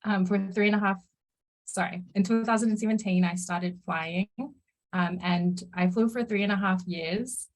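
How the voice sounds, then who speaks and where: A woman's voice: mid-range voice, steady tone, normal speed, low-quality recording. A young woman in her twenties, a mentee from New Zealand, sharing her career story in a friendly, eager way. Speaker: mentee and consultant.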